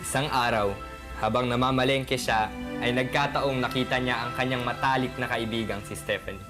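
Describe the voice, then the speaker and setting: A man's voice: medium pitch, steady tone, moderate pace. A young man reading scripted narration for a radio drama. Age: teenager or young adult. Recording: music playing behind the voice.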